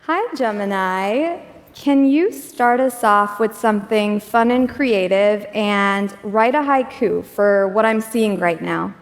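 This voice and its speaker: A woman's voice: medium pitch, slow pace. A woman runs a live demo on stage, upbeat and chatty, with a few filler words. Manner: upbeat, chatty, laughs often, some fillers. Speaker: demo presenter. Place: stage of a large auditorium. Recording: echoey hall reverb.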